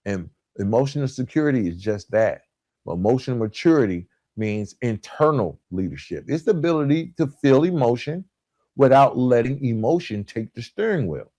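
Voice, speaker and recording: Guy doing a motivational coaching podcast, mid pitch, repeats stuff to drive it home, really dry sound. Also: expressive, moderate pace, mellow, no echo. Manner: emphatic repetition, checks in with "right?" and "guys". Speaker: podcast host.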